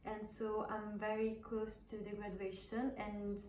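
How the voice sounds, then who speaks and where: A woman in her twenties from southern Italy with an Italian accent, answering interview questions from home, often pausing on "um" and "uh". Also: medium pitch, flat delivery, darkish tone. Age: 20s. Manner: hesitant, with many "um" and "uh". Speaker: fashion design student. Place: at home on an island. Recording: echoey room, distant mic, faint noise.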